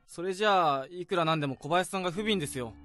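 A man's voice: sits high, fast talker, a bit bright, faint music behind. An actor playing an earnest young male office junior who keeps questioning, in a radio drama.

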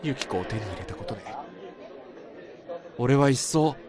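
An actor in a Japanese radio drama playing a guilt-ridden young husband-to-be, speaking in halting, earnest, strained phrases. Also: male voice, mid-range voice, expressive, a loud crowd, natural voice. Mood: anguished, confessing. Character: guilt-ridden young husband-to-be confessing to his best friend.

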